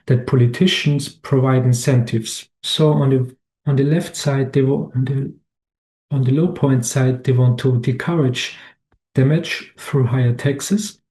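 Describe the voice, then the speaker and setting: Austrian guy, presenting slides on a webinar, mellow voice, keeps restarting his sentences. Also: mid-range voice, fairly even intonation. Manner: halting, many restarts and fillers.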